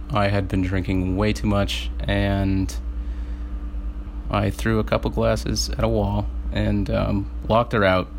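A man with a low, gravelly voice speaks in a flat, even tone. He talks haltingly and stops to collect himself while answering an interviewer's questions. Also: a little hiss or hum.

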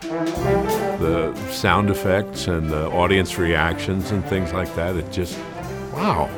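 A man's voice: low-pitched, sing-song, takes it slowly, over loud music. An older man, a local theater actor, recalling his experiences in a casual interview.

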